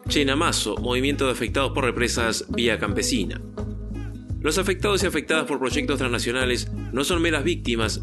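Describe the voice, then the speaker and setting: A male newsreader delivers a scripted report in a measured, even way. His voice sits at a middling pitch. A clear guitar music bed plays underneath. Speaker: news presenter. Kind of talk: scripted news reading.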